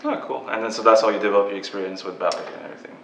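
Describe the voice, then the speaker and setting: A man's voice: on the low side, rapid-fire, gravelly, a bit bright, slight background noise. A young man hosting a podcast interview, upbeat and chatty, with lots of fillers. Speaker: podcast host. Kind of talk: casual interview. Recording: echoey room.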